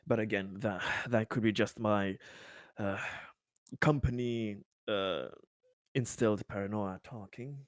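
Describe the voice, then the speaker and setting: A man's voice: lowish voice, steady tone, unhurried, no echo, clean studio sound. A man improvising in-character dialogue in a tabletop roleplaying game, speaking hesitantly in polite, formal phrases.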